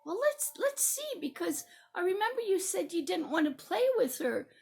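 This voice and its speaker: A woman playing a patient teacher who gently and deliberately coaches a child in a children's role-play story. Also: very high-pitched, very animated, vocal fry, soft background music, heavily acted. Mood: encouraging. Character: patient, soothing adult teacher guiding upset child.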